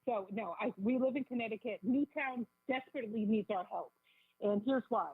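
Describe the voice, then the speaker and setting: A woman calling in to a radio show, raspy from a bad cold, speaking emphatically and indignantly. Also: a little high, a little fast, no echo. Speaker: phone-in guest. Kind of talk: live radio call-in advocacy. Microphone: telephone. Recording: tinny, narrow phone line.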